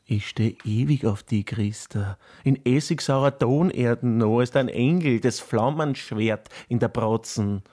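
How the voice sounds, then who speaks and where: An actor playing a worn former partisan haunted by war, speaking in brooding, broken phrases in a poetic radio drama. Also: male voice, medium pitch, unhurried, hardly any pauses, warm tone, lightly acted. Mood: weary, fervent.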